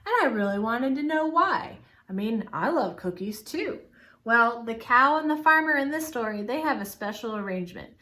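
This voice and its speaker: Children's storytime host, female, bright crisp voice, expressive and plain-spoken for very young listeners. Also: mid-range voice. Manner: simple, expressive talk addressed to young children.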